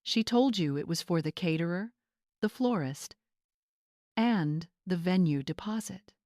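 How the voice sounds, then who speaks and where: A woman's voice: on the low side, animated, measured pace, a little mellow, no echo, clean studio sound. A woman voicing a wealthy older mother in a dramatic story, controlled, stern and unflinching. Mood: cold, accusing.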